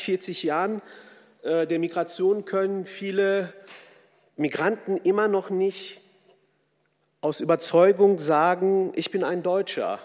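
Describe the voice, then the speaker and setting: A man from a Kurdish background raised in Germany giving a formal, earnest talk with frequent hesitations. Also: fairly high pitch. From Kurdish from Turkey, raised in Germany. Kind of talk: formal speech with fillers. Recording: long hall reverb.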